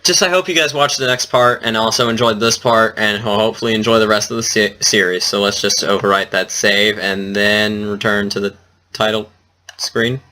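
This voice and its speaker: A man's voice: on the low side, fairly even intonation, normal speed, bright, forceful tone. Self-deprecating man doing casual game commentary. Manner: self-deprecating, awkward, rambling. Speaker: let's player. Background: game music.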